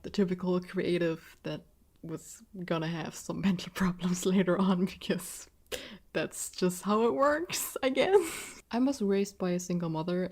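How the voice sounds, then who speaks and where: A woman's voice: mid-range voice, darkish tone. Woman, early 20s, German accent, English not her first language. Chatty, self-deprecating solo podcast host. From southwest Germany. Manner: chatty and self-deprecating, laughs often between sentences. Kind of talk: casual solo podcast talk.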